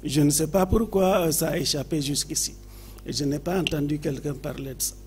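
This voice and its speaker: A man from Niger with a Nigerien accent, speaking off the cuff as an adviser at a seminar, phrasing his points deliberately with frequent pauses. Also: on the high side, a little mellow, husky. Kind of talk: seminar intervention, unscripted public speaking.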